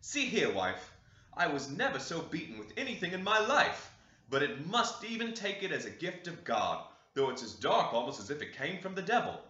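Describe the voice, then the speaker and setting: A gruff, hearty old father from a storybook put on by a male reader. He speaks loudly and emphatically with big pitch swings, very bright, in a roomy echo. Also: very animated, normal speed. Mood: weary, exclaiming. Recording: roomy, echoey, slightly dull sound.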